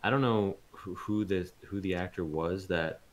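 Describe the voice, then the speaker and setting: A man being interviewed as a voice actor, chatting casually and hesitantly, thinking aloud with pauses. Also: low-pitched.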